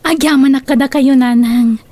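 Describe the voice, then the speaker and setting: High-voiced, sweet young daughter. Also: female voice, steady tone, normal speed, mellow. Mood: grateful, hopeful. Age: young adult. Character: sweet, grateful young daughter fresh out of school. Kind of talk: Ilocano radio drama acting.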